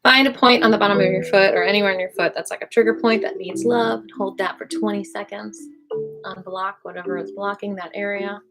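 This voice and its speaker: A woman guides a live qigong class in a loose, playful way, counting holds out loud and now and then dropping to a whisper. Music plays clearly underneath her voice. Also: mid-range voice, fairly even intonation, a little fast. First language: Hebrew. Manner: playful and chatty, counts aloud, drops into whispers. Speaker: qigong teacher. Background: background music.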